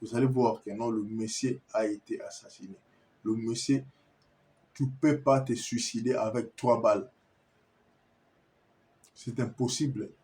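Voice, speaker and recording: A man's voice: medium pitch, steady tone, measured pace, long pauses, darkish tone. A man with a Congolese French accent giving an impassioned, insistent monologue to viewers, repeating himself and stammering as he searches for words. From Kinshasa, Congo. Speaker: commentator addressing viewers.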